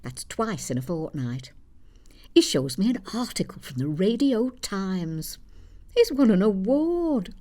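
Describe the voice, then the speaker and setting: Woman acting elderly Yorkshire mother, plain-spoken, easily affronted, swooping expressive pitch, matter-of-fact storytelling, theatre monologue. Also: measured pace. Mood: wry, bemused. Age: older. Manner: dry, matter-of-fact, gossipy. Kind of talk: conversational storytelling stage monologue.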